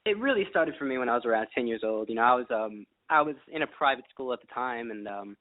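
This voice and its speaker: A man's voice: medium pitch, animated, fast, no room sound. A teenage young man from Texas chatting casually as an interview guest, repeating words as he goes. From Texas, United States. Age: teens, sixteen. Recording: dry, thin phone-like line.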